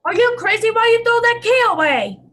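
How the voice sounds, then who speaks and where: A woman's voice: extremely high pitch, very animated, fairly quick, a bit bright, exaggerated voice. A woman playing an alarmed, impatient father in a radio play, urgent and demanding in manner. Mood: frightened, exasperated. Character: Mr. Morris, alarmed and impatient father of a young girl.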